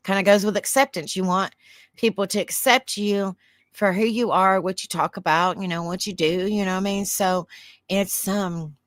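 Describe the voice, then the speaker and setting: A woman with a Southern US accent and a soft, soothing voice hosts a livestream. She chats in a rambling way, reads aloud and answers viewer comments. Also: mid-range voice, steady tone, normal speed, no room sound, clean studio sound. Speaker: livestream host. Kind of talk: casual livestream chat, reading aloud.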